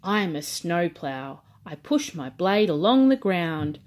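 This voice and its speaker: A woman voicing helpful, proud rescue vehicles that introduce themselves in measured rhyme for a children's book. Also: on the low side, big pitch swings, darkish tone.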